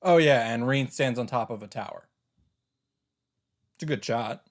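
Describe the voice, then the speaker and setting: A man's voice: mid-range voice, normal speed. A man giving casual commentary on a game playthrough, with wry, self-interrupting asides.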